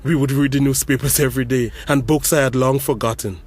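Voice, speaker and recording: A man's voice: mid-range voice, steady tone, fairly quick, husky, lightly acted. A young man, playing a brother who delivers an intense, accusing eulogy at a funeral in an audio drama. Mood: cold.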